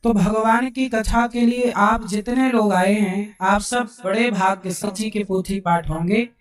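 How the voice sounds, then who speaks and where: A hoarse man delivers a devotional sermon at a slow, deliberate pace, pausing to ask the crowd questions and then answering them himself. His voice sits back from the mic, carried by the echo of a large hall. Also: high voice. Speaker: Bhagavat katha preacher. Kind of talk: devotional sermon to a live gathering. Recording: roomy sound from a distant mic.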